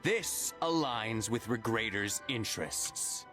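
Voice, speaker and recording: An actor playing a cold, businesslike mercenary debt collector in a video game. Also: male voice, on the low side, animated, slow, over music.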